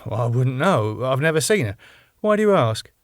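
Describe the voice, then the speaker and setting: A man's voice: medium pitch, big pitch swings, moderate pace, no echo, studio quality, close to an everyday voice. A man reading the part of a flustered young venue manager who hesitates and dodges questions. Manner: hesitant, full of uhs.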